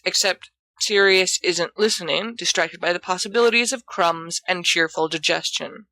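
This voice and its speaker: A woman reading a scripted story aloud as narrator, with expressive delivery. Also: lowish voice, no echo, rough recording. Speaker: audiobook reader of fan fiction.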